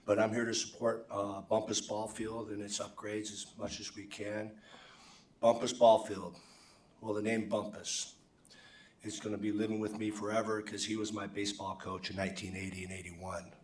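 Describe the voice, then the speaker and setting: A man in his sixties with a hoarse voice, giving halting public testimony as a softball umpire. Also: lowish voice, little pitch movement. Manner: halting, many restarts. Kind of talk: public comment at a council meeting. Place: council chamber. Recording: echoey council chamber, distant mic.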